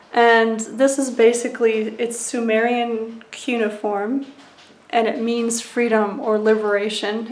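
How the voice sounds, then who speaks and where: Woman in her thirties, soft-spoken vlogger, slightly high voice, echoey room. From United States. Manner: soft-spoken and unhurried, with frequent ums and small laughs. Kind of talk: personal reflective vlog.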